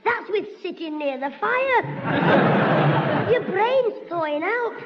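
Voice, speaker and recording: A man playing a cheeky, scheming schoolboy, firing off quick wisecracks in a 1960s radio sitcom. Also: very high-pitched, animated, a loud crowd, full character voice. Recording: dull hissy old radio recording with reverb.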